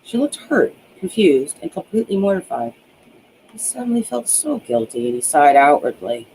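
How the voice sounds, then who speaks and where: A man with a slightly high voice narrates a novel in a dramatic storytelling manner. He stumbles now and then over a phrase. There is a faint noise on the recording. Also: sing-song, normal speed, creaky, a little mellow, poor audio. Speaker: author reading own novel aloud. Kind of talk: audiobook narration of a romance novel.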